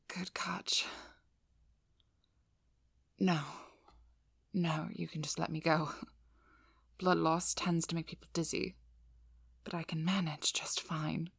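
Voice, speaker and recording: Female vampire hunter played by a woman for ASMR role-play: low, husky, breathy, guarded and firm, close on the mic. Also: little pitch movement, no room sound, exaggerated voice. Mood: weary, dry. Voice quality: breathy, mostly whispered. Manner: slow, soothing instructions, firm and controlled. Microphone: close ASMR microphone.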